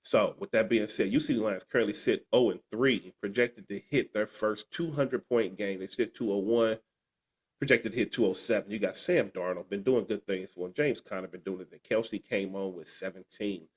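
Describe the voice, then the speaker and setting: Sick podcast co-host, congested, lowish voice, rambling recap. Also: male voice, normal speed, husky. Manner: rambling, restarts and "uh" fillers. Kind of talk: fantasy football recap chat.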